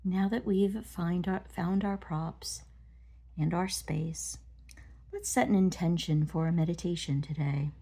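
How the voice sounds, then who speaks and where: Slow, low, mellow woman guiding meditation. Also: steady tone, breathy edge. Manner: gentle, deliberate, pausing between short phrases. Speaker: meditation guide. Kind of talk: guided body scan meditation.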